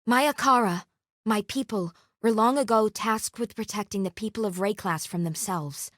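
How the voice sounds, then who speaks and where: A woman acting as a stern warrior woman sworn to guard a secret order. She speaks formally and gravely in a mid-range voice, with a vengeful edge and measured phrasing. The recording is dry and close, in the manner of video game character lines. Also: mid-range voice, a bit bright, no echo, close to an everyday voice. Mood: solemn, confiding.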